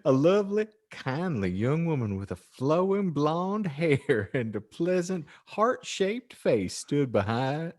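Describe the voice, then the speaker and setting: Slow, animated man reading comic fiction. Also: fairly high pitch. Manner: theatrical, mock-earnest, jokey. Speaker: podcast host and story reader. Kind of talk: comic audiobook narration with casual asides.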